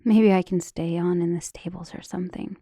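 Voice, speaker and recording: Soft, mellow devoted maidservant, played by a woman. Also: on the low side, fairly even intonation, brisk. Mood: muttering, determined. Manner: deferential, gentle, formal period phrasing. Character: devoted, outspoken female servant of a noble household. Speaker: voice actor in an ASMR roleplay audio drama. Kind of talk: soft-spoken ASMR roleplay acting, often dropping to a whisper.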